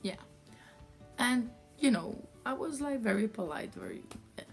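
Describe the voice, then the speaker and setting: A woman's voice: on the high side, sing-song, measured pace, creaky, faint music behind. A woman from France, a yarn dyer telling a story in a casual vlog, rambling with many fillers.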